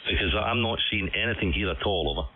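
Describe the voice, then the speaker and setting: A man's voice: on the low side, quick pace. A man talks in a friendly, chatty way. He is an amateur radio operator making casual contact. The sound is thin and phone-like, carried over a radio transmission with a faint hiss. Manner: amiable, chatty, uses "mate". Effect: shortwave single-sideband radio transmission. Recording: band-limited ham radio signal, faint hiss.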